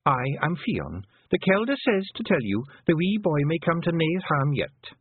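A man voicing a tiny, proud fairy woman with a Scottish accent, speaking in a high, lilting, measured way. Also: sing-song, breathy edge, dry recording. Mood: solemn. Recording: tinny, phone-like lo-fi audio.

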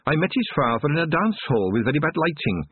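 A man's voice: a little high, sing-song, quick pace, breathy edge, no echo, voice pushed up. A man voicing a chatty, proud old witch woman talking fondly about her son, on a narrow, dry, phone-like recording.

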